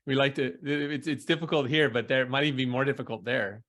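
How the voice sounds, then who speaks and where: A man's voice: mid-range voice, fairly even intonation, brisk, a bit bright. A middle-aged man hosting an interview over a video call, recapping the story in a reflective way and now and then joking. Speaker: interview host. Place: indoor room at home.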